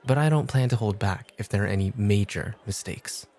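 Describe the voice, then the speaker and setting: A man playing a cool, exacting off-duty club host who teases and challenges in a measured way, in an ASMR roleplay. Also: lowish voice, darkish tone, a faint crowd, close to an everyday voice. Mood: stern, teasing. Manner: challenging, measured, coolly teasing.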